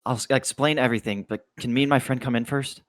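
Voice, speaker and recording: A stubborn college freshman boy on the run, played by a young performer at a table read. He sounds earnest and pleading, with a mid-pitched voice. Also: fairly even intonation, quick pace, natural voice. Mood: subdued. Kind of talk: amateur script table read.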